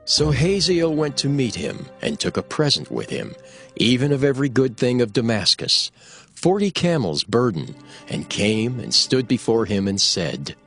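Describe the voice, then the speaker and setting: A man narrating a dramatized scripture reading in a solemn, measured storytelling manner. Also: medium pitch, big pitch swings, a bit bright. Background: music.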